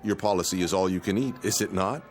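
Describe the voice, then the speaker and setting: A man's voice: low-pitched, fast talker, lightly acted. An actor playing a pompous, penny-pinching man with overly polite, formal speech in a radio drama. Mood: polite, smug. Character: fussy, pompous, penny-pinching male freeloader.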